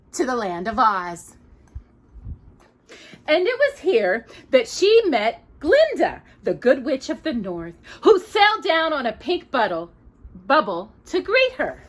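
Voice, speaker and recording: High-voiced, very expressive woman storyteller. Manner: expressive, dramatic, with drawn-out words and repetition. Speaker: storyteller, mother reading to her daughter. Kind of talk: children's storytelling with bits of song.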